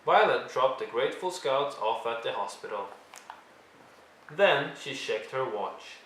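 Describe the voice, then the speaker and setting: A man reads a storybook aloud for young children. His delivery is expressive and deliberate, leaning on key words for drama. The recording is roomy and echoey, with faint noise behind him. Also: medium pitch. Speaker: storyteller reading a picture book aloud. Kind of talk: expressive read-along narration for young children.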